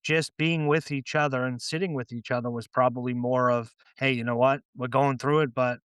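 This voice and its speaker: A man's voice: mid-range voice, fairly even intonation, moderate pace, a bit bright, smooth, dry recording. A man hosting a podcast interview, loose and chatty with plenty of fillers. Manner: loose, chatty, lots of fillers and "you know". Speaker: podcast host.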